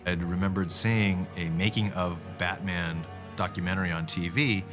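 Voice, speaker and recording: A man with a deep voice speaks casually as a producer in a documentary. His recollections run over a clearly heard music bed. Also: fairly even intonation, moderate pace. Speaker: animated series producer.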